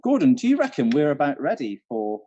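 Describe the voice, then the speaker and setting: A British man co-hosts a quiz, moving between announcements and casual chat. His mellow voice swings freely in pitch. The recording is dull and muffled. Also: wildly swooping pitch, a little fast, slight background noise. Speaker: quiz co-host, venue manager.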